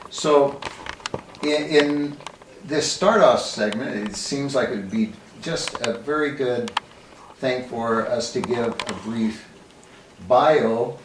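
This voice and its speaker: A man introducing his interview guest in a halting way, with many uhs. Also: mid-range voice. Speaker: interviewer, magazine editor. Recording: echoey room, mic at a distance, faint hiss.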